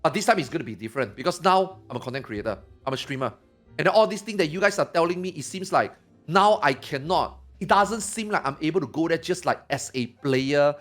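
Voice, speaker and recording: A man in his forties, a streamer, talking fast and excitedly in a casual podcast chat, often repeating himself. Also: a little high, soft background music. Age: 40s.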